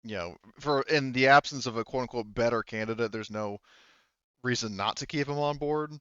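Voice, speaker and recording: A man's voice: mid-range voice, moderate pace, a bit bright, clear, resonant tone, dry recording, lo-fi sound. A man acting as game master, chatting casually through a tabletop game in an informal, self-correcting way.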